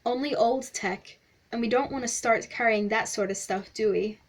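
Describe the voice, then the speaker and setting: A woman's voice: fairly high pitch, normal speed. A woman voicing a guarded, prickly young woman who speaks curtly and bluntly.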